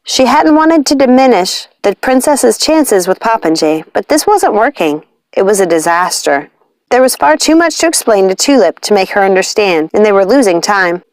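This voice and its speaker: A woman's voice: mid-range voice, expressive, normal speed, dry recording. A woman narrating a scripted story as an audiobook reader.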